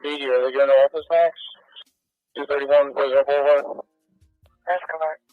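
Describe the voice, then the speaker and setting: A man's voice: medium pitch, fairly even intonation, moderate pace, no room sound. A man working as an emergency responder, giving terse messages over a two-way radio. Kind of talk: terse radio dispatch traffic. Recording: dull scanner radio, music behind.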